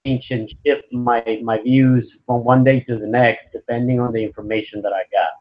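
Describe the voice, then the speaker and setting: A man's voice: medium pitch, little pitch movement, moderate pace. Man in his fifties, lo-fi sound. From Venezuela. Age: 50s. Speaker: interview guest. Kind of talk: conversational interview answers.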